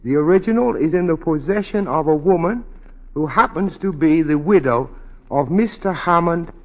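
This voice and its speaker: A measured, deferential clerk, higher voice. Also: male voice, expressive. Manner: formal, measured, obsequiously polite. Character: discreet, deferential male confidential clerk, quietly cunning. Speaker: actor in a radio drama. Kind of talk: 1940s radio acting. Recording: muffled 1940s radio recording.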